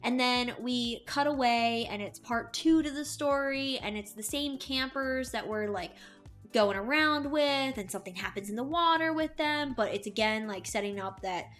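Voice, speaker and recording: A woman with a slightly high-pitched voice co-hosts a casual review show. She talks conversationally and with emphasis, readily agreeing as she goes. Faint music sits behind her voice. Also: moderate pace, fairly bright tone. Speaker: co-host of an audiobook review show. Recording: music under the voice.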